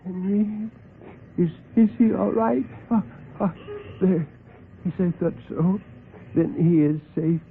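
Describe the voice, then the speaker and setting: A man's voice: high voice, fairly even intonation, takes it slowly, vocal fry, long pauses, a little hiss or hum, pitched above the performer's own voice, heavily acted. A man playing a meek, flustered, kindly fellow who stammers and fusses, in a classic radio drama. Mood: frail, distressed. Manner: stammering, fussy, breathless exclamations. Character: meek, flustered, kindly man devoted to his unseen friends. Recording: muffled vintage radio recording with music.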